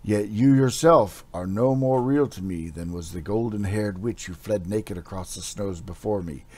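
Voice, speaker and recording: A man's voice: lowish voice, normal speed, warm tone, lightly acted. A man voicing a dazed, half-delirious barbarian hero in a read-aloud fantasy story. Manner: hazy, strained, intense.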